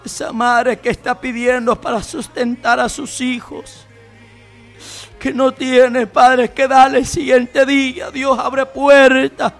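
A man's voice: very high voice, little pitch movement, slow, creaky, faint music behind. A man leading fervent Pentecostal intercessory prayer, pleading and exclaiming in repeated phrases. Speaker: prayer leader.

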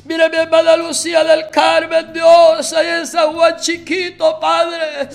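Gravelly woman praying fervently over music. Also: very high-pitched, completely flat delivery, takes it slowly. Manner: fervent, declamatory, speaks in tongues. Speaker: preacher leading healing prayer. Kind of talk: fervent spoken prayer.

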